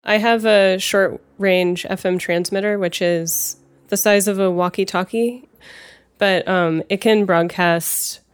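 A woman's voice: medium pitch, fairly even intonation, slow, clean tone. A woman from Los Angeles, a musician, talking thoughtfully in an interview. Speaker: guest, musician. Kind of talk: interview talk.

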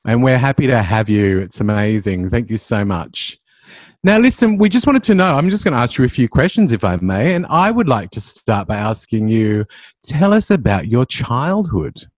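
A man's voice: medium pitch, big pitch swings, normal speed, no echo. A man hosting an interview show, introducing his guest and asking questions.

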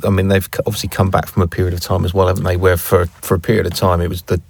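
A man's voice: low voice, completely flat delivery, very fast, creaky, no echo. A man co-hosting a business podcast, talking loosely and rambling a bit in a casual chat. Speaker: podcast co-host.

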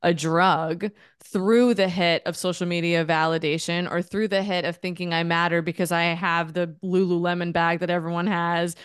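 A woman in her thirties co-hosting a podcast, earnest and animated, talking in long runs. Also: on the low side, normal speed, clear, resonant tone, dry recording, clean studio sound. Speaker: podcast co-host. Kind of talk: casual podcast chat.

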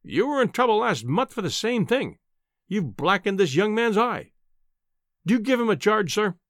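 A man voicing a stern, officious policeman in an audiobook, scolding severely and giving brisk orders. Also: sits high, big pitch swings, a bit bright, no room sound, clean studio sound, voice pushed up. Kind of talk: audiobook character acting.

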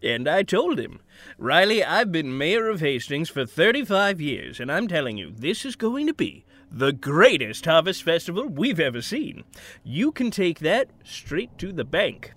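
Folksy older mayor with swooping, high-pitched voice. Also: male voice, big pitch swings, measured pace, fairly bright tone, full character voice. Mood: jovial. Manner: folksy, avuncular, hemming and hedging. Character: genial, evasive older small-town male mayor. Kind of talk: audio drama dialogue.

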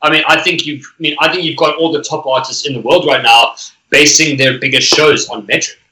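A man with a bright, forceful voice, hosting a relaxed conversation. He muses aloud, leaning on "I mean" and "like" as he works through his thoughts. His voice rings in an echoey room. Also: fairly high pitch, brisk. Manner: musing, filler-heavy "I mean", "like". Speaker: podcast host. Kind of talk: casual chat.

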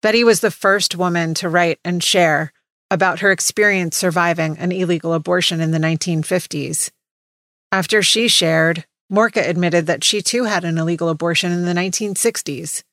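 A woman with a fairly low voice reads scripted podcast narration as the host. The recording is dry and close, with next to no room around her. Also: steady tone, normal speed, a bit bright, dry recording. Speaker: podcast host introducing stories.

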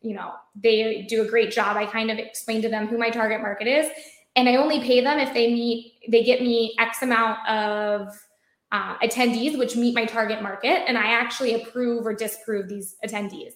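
A woman with an American accent explains her work in relaxed, rambling talk, restarting sentences and leaning on "like" and "um". Her voice sits a touch high, and the room gives it a noticeable echo. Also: normal speed. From United States. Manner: chatty, many fillers and restarts. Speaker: marketing guest. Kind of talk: conversational interview. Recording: roomy echoey sound.

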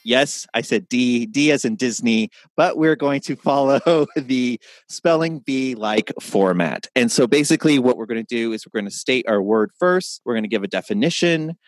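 A man's voice: medium pitch, expressive, moderate pace, few pauses, dry recording. A man hosting a casual podcast, upbeat and chatty, explaining things as he goes. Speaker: podcast host.

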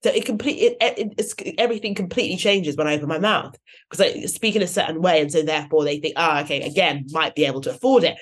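A woman hosting a casual conversation, telling stories in a lively way, laughing often and acting out what others said. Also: low voice, fast. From West London. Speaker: guest host.

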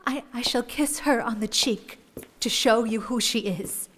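Shrewd young schemer, slow, echoing. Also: female voice, fairly high pitch, fairly bright tone. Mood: resolute. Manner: deliberate, formal, articulate. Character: shrewd, composed young woman bargaining amid fantasy intrigue. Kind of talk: fantasy audio drama acting.

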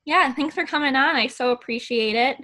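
A young American woman hosts a casual interview. Her voice is fairly high and bright, with a conversational delivery. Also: a little fast. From Michigan, United States. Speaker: podcast host.

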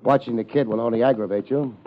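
An American actor playing a laid-back, wisecracking hard-boiled private investigator in a 1950s radio detective drama. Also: male voice, medium pitch, fast, natural voice. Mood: dry, needling. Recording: muffled old 1950s radio recording.

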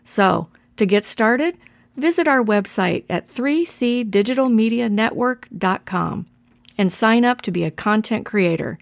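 A woman's voice: medium pitch, animated, a little slow, gravelly, no echo. A woman reading a scripted promotional spot in an upbeat sales tone. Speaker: ad announcer. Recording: dry with faint music behind.

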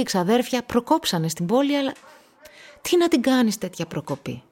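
A woman's voice: fairly low pitch, darkish tone. A woman giving scripted dramatic narration in a radio play.

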